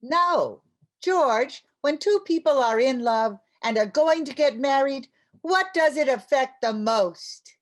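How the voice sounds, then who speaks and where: A woman's voice: high-pitched, lively intonation, takes it slowly. A woman playing a playful, mushy housewife in an old-time radio comedy, with a coaxing, theatrical delivery.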